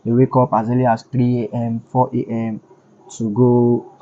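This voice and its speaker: A man's voice: mid-range voice, monotone, moderate pace. A man from Lagos with a Nigerian accent, answering questions in a casual street interview, frank and explanatory, often repeating himself for emphasis. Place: outdoors on a street. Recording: dull lo-fi audio.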